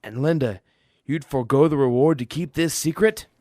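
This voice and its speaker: A man's voice: a little high, moderate pace, darkish tone, no echo, studio quality, close to an everyday voice. An actor playing a proud, understanding older father in an old-time radio drama. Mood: probing, earnest.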